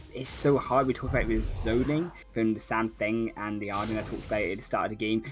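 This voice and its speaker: Analytical male esports color commentator. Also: lowish voice. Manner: analytical, halting with many fillers. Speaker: esports caster.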